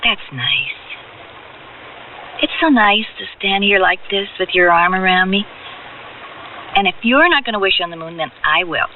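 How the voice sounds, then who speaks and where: A woman's voice: medium pitch, moderate pace, long pauses, lightly acted. An actress playing a tender, coy working-class young woman in love, with folksy speech in a radio drama. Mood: dreamy, coy. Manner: soft romantic teasing, folksy grammar. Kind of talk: old-time radio drama acting. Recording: tinny old radio recording, faint noise.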